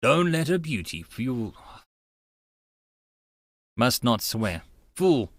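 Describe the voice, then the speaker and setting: A man narrating a visual novel as a first-person audiobook, expressive and given to informal asides. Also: medium pitch, drawn-out words, no room sound.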